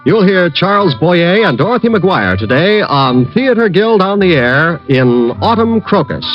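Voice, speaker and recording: A man's voice: high voice, big pitch swings, unhurried. A man announcing on a 1940s radio program with polished, formal delivery. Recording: dull old radio broadcast with music.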